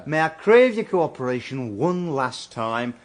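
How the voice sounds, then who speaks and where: A man's voice: medium pitch, big pitch swings, measured pace. A man playing an anxious, officious English political organiser in a comic radio drama, flustered and exclamatory. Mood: formal, flustered.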